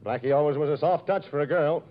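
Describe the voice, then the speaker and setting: Man as dogged, fast-talking American police inspector. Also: a little high, natural voice. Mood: resigned, brisk. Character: dogged, gruff male police inspector hunting his nemesis. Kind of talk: 1940s radio acting. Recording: muffled, noisy old radio recording.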